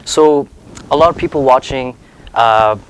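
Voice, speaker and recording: A man's voice: medium pitch, measured pace, poor audio. A man hosting an interview show, reading a scripted intro and then asking questions with some hesitant fillers. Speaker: host. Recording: music clearly behind the voice.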